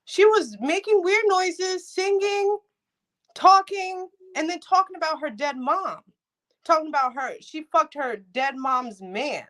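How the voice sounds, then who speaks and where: A woman co-hosting a casual podcast, animated and building up outrage as she talks. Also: high voice, measured pace. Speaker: podcast co-host. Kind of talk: casual chat.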